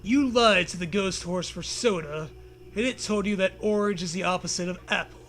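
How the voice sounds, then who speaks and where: A man's voice: sits high, normal speed, fairly bright tone, slight background noise. A man co-hosting a comic read-aloud, reciting an absurd story in a mock-dramatic manner. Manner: mock-dramatic, swears casually. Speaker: co-host reading aloud.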